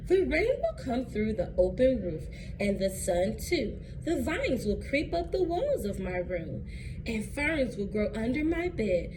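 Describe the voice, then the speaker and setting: A woman voicing an eager, nature-loving young boy from a storybook in an earnest, deliberate reading. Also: fairly high pitch, very animated. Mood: earnest, planning. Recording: clearly noisy background.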